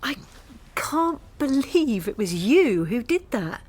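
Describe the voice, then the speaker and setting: A persistent young former student in a radio drama. She is cheeky and probing, with a husky, breathy edge and animated, swooping intonation. Her delivery is conversational and teasing. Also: on the high side, slow pace, full character voice. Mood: incredulous, probing. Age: young adult.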